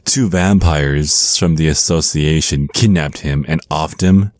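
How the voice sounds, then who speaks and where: Male actor as menacing, teasing vampire naga overlord for ASMR roleplay: extremely deep, unhurried, flirtatiously smug. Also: darkish tone, no echo. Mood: mocking, incredulous. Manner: smug, teasing, slow deliberate menace with drawn-out words.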